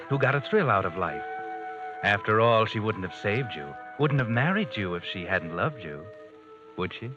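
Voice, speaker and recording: An actor playing a sardonic, all-knowing narrator who mockingly addresses the characters in old-time radio suspense style. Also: male voice, on the low side, close to an everyday voice. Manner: insinuating, mocking. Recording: dull old radio recording, music behind.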